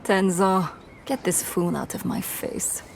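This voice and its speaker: An actress playing a tough, scornful raider chief in a game cutscene, curt, mocking and authoritative. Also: female voice, lowish voice, slow, creaky, darkish tone, a little hiss or hum. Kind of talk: video game cutscene acting.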